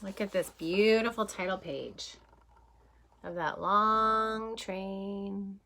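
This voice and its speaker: Slow, bright-voiced woman reading to kids. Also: mid-range voice, slight background noise. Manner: gentle, expressive storybook reading with questions to children. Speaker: kindergarten teacher. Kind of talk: children's picture book read-aloud.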